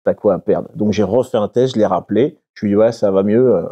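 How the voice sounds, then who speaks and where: Low, mellow-voiced French man. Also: lo-fi sound. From France. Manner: halting, many fillers and restarts. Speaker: guest. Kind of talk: casual interview talk.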